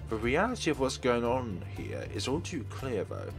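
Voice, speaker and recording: A man's voice: medium pitch, huge pitch swings, moderate pace, vocal fry. A man hosting a let's play, reading a visual novel's text aloud and dropping casual asides between the lines. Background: faint game music.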